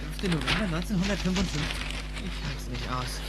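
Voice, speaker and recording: A man's voice: fairly high pitch, expressive, brisk, very noisy, lightly acted. An actor playing a bewildered, impulsive teenage boy with an urgent, questioning manner in a German dubbed audio drama.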